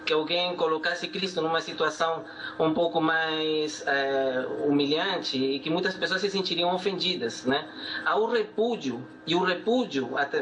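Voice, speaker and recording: A middle-aged man with a Peruvian Spanish accent, answering as an interview guest in a measured, hesitant way with many filler sounds. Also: high voice, a bit bright, a little hiss or hum, poor audio. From Peru.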